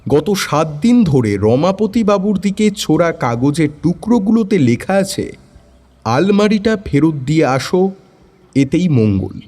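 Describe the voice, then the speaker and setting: Dark, husky male storyteller. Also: on the high side, sing-song, unhurried. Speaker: story narrator. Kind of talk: scripted first-person detective story narration.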